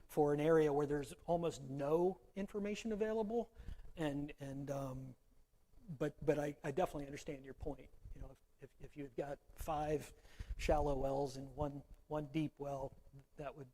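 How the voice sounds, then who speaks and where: A man's voice: on the high side, steady tone, normal speed, warm tone, low-quality recording. A man answering audience questions at a conference, hesitant and repeating his words.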